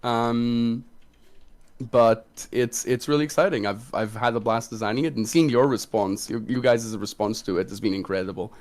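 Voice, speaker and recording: A man's voice: mid-range voice, normal speed. A man who designs tabletop games, chatting casually as co-host of a question-and-answer livestream.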